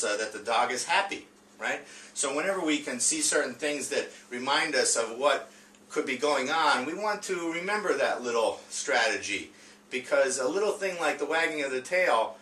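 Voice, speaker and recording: A man teaching a reading lesson in an informal, halting way, repeating words and often checking in with the listener. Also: on the high side, sharp tone. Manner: halting, frequent stammered repeats and check-in tags. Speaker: teacher demonstrating a read-aloud lesson. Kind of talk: classroom reading and phonics lesson, informal and unscripted. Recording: roomy, distant mic with faint noise.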